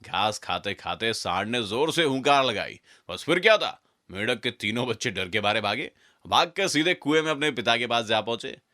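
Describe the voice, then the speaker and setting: A man's voice: mid-range voice, bright, forceful tone. A man narrating a scripted children's fable as a storyteller.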